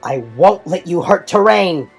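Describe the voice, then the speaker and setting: A man's voice: high voice, lively intonation, measured pace, vocal fry, husky, faint music behind, voice pushed up, heavily acted. A man voicing a brave, earnest young male fantasy hero with an urgent manner.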